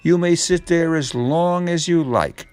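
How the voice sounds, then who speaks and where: A man voicing a sly gentleman fox from a storybook, speaking in a formal, genteel, over-polite manner. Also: a little high, a little slow, pitched above the performer's own voice, close to an everyday voice. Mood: suave, obliging. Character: sly, courteous gentleman fox with oily charm. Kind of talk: storybook character reading.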